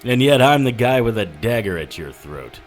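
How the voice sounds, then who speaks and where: Wry, lively 1940s tough-guy detective, acted by a man. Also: mid-range voice, normal speed, faint music behind, lightly acted. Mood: defiant, threatening. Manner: wry, hardboiled, offhand quips. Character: wisecracking, tough 1940s detective hero, sardonic, unflappable. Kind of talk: full-cast period audio drama acting.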